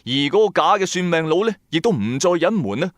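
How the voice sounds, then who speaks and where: A man with a Cantonese accent narrating a supernatural tale in the first person, dramatic, colloquial and expressive. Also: on the high side, fast, no echo, clean studio sound. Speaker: storyteller narrator.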